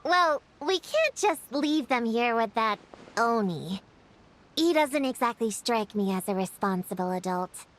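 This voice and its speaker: A woman's voice: fairly high pitch, expressive, a little slow. A woman voicing an earnest, responsible young girl in a video game, matter-of-fact as she reasons things out. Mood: businesslike. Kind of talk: video game character acting. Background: loud game music.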